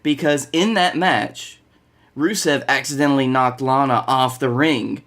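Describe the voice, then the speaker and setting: A young man speaks at a medium pitch as a podcast co-host. His delivery is casual and conversational, like chatting with a friend. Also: a little slow, fairly bright tone. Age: young adult. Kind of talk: casual chat. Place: bedroom, video call.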